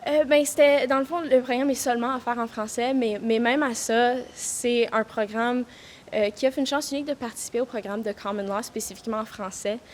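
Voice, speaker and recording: A woman's voice: fairly high pitch, steady tone, brisk, a little hiss or hum. A young woman law student, giving an earnest vox pop answer full of fillers.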